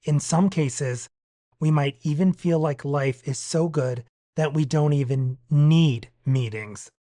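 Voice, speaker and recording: A man's voice: on the high side, slow, warm tone, dry recording. A man reading a daily reflection aloud for a podcast. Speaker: podcast guest reader.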